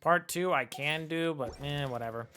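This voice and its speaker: A man's voice: fairly high pitch, normal speed, bright, forceful tone, clear, resonant tone. A man giving casual, chatty, playful commentary while live streaming a video game. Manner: chatty, playful, muttering nonsense syllables.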